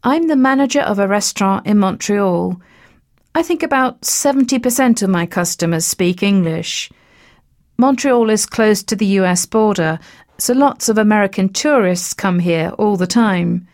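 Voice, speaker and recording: A woman's voice: medium pitch, moderate pace, a little mellow, close to an everyday voice. A woman voicing a young French-Canadian restaurant manager, giving a plain first-person account. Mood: matter-of-fact. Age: young adult.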